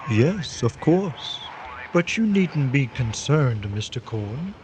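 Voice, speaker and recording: A man playing Death personified, a weary and stubborn supernatural being, speaking formally and gravely in a radio drama. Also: medium pitch, big pitch swings, slow pace, a little mellow, slight background noise. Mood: calm, grave. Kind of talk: old-time radio drama acting.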